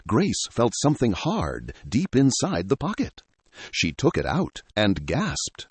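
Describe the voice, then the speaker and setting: A man with a husky voice reading a scripted story as a dramatic narrator. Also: on the low side, a little mellow, no echo.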